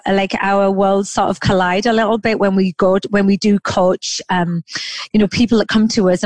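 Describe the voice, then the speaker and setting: A woman's voice: a little high, no echo. A woman hosting a podcast interview, chatting casually with frequent ums. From England. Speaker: podcast host.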